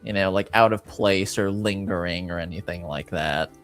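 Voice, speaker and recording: Low-voiced man narrating haltingly over music. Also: a bit bright. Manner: halting, hesitant, casual phrasing. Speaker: game master of a tabletop roleplaying game. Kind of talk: improvised narration of an actual-play game.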